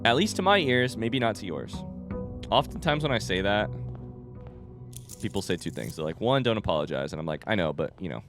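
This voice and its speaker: Male streamer, low animated voice, slightly sick, rambling through a playthrough with light music behind. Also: fairly quick. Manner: rambling, informal, mild swearing. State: voice affected by being sick. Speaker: video game streamer playing blind. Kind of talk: casual gameplay commentary, thinking aloud.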